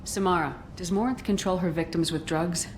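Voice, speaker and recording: A woman's voice: fairly low pitch, brisk, slight background noise, lightly acted. An actress playing a composed female military commander in a video game, direct, controlled and serious. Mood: steady, reassuring. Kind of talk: video game dialogue acting.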